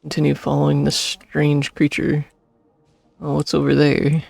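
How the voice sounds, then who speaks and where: A man's voice: a little high, monotone, unhurried, gravelly, a little mellow, no echo. A man streaming a game, giving casual, musing reaction commentary in short puzzled asides.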